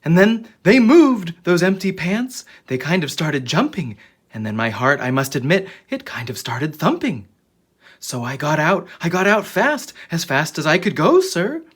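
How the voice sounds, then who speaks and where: A storyteller with a husky voice reading a children's book aloud in a dramatic, expressive way. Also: mid-range voice, a little slow. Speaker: children's story reader and channel host.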